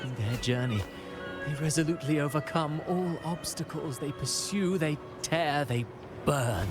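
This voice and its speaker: A man playing a suave, philosophical villain playwright in a video game, measured and pompous in a theatrical way, with music behind. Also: a little high, close to an everyday voice. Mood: fervent, grandiose. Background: loud game music.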